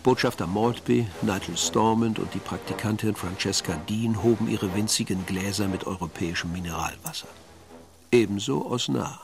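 A man narrating a radio drama as a dry, composed storyteller, in a measured, literary manner. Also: on the low side, creaky, over music.

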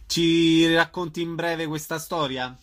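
A man's voice: on the high side, steady tone, a little slow. A man hosting a radio programme about theatre, interviewing guests in a conversational way.

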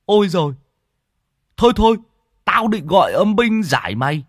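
A man voicing a gruff older sorcerer who barks orders and chants spells, loud and raised in pitch. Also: sing-song, normal speed, no echo, clean studio sound, heavily acted. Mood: scolding. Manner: brusque, commanding.

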